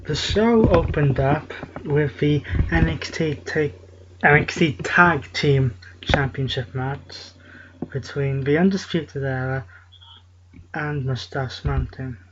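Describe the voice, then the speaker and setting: A man hosting a casual talk show, recapping results at a halting, steady pace. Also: fairly high pitch. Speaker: show host. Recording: lo-fi with loud hiss.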